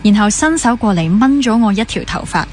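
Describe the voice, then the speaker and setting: A woman's voice: mid-range voice, animated, brisk, darkish tone, a little hiss or hum, lightly acted. A young woman with a Hong Kong Cantonese accent playing a gossipy, pampered only child obsessed with dating in a naturalistic radio drama. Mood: animated gossiping. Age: young adult. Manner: chatty, gossipy, mixes in English words.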